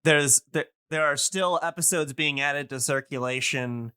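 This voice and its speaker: A man explaining things casually on a podcast as an enthusiast, in a halting, rambling way full of fillers. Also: on the high side, bright, forceful tone, clear, resonant tone, no room sound, clean studio sound. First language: English. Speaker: podcast speaker on old-time radio.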